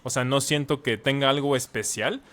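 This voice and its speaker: A man's voice: mid-range voice, moderate pace, a bit bright. A man in his thirties, a language teacher and author, talking as a podcast guest and explaining at length with plenty of examples. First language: Spanish. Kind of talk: casual podcast conversation.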